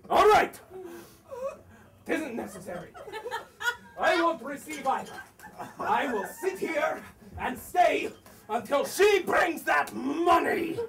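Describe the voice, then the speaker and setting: A man's voice: very high voice, vocal fry, fairly bright tone, full character voice. Distant, wildly swinging blustering landowner played by a man. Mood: outraged. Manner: blustering, exclamatory, grumbling to himself with halting outbursts. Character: blustering, hot-tempered, woman-scorning male landowner creditor. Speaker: student stage actor. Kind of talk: theatrical stage acting of a comic one-act play. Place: theater stage, recorded from a distance. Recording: distant stage recording with faint noise.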